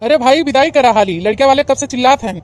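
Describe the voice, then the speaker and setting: A woman's voice: high-pitched, fast, a little mellow, natural voice. A woman voicing an impatient village palanquin bearer in a comedy cartoon, nagging and hurrying with an Awadhi accent.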